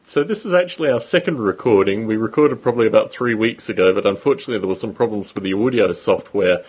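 A man hosting a podcast interview, asking long, measured questions. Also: on the low side, a little mellow, husky. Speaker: podcast host.

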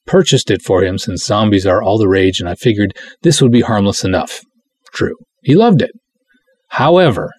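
Male audiocast host, lowish husky voice, dry close recording; wry conversational delivery with mock-dramatic readings. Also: darkish tone, dry recording. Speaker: host, film director. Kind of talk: solo audiocast monologue, reading reviews aloud with commentary.

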